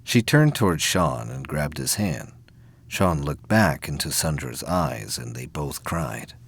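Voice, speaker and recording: A man narrating a science fiction audio drama in a solemn, measured, dramatic storytelling style. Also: a bass voice, very animated, creaky, slightly rough.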